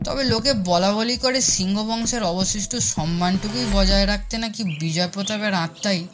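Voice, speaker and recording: A woman's voice: medium pitch, normal speed, natural voice. A woman voicing a colloquial, scoffing character in a horror cartoon, with music behind. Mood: ominous, gossipy. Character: gossipy palace guard or brash dismissive young friend.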